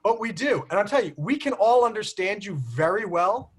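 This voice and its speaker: Lively high-voiced American man. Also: normal speed, breathy edge. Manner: animated, teasing, mimics accents. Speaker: host. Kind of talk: casual group video call chat. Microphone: video call.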